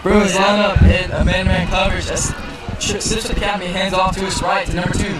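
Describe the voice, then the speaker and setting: Raspy high-voiced play-by-play man. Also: level tone, over a noisy crowd. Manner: halting, stumbles and restarts on names. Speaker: play-by-play announcer. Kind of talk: live play-by-play commentary. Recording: echoey, distant mic, loud music.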